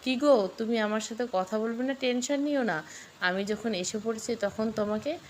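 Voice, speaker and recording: A woman's voice: a little high, moderate pace, slight background noise, natural voice. A woman voicing a sassy, defiant young wife in a storybook, coy and feisty. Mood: coy, teasing. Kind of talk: storybook dialogue.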